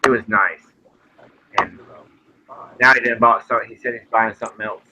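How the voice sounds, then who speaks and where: A man's voice: mid-range voice, fairly even intonation, moderate pace. A forty-year-old man in casual chat, telling a rambling story. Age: 40s. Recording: dull lo-fi sound with faint noise.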